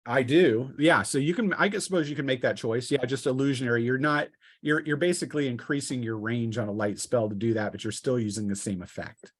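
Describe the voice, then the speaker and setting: A man acting as game master, explaining rules and turns in a casual, halting way. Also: mid-range voice, husky, no echo. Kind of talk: casual tabletop game refereeing.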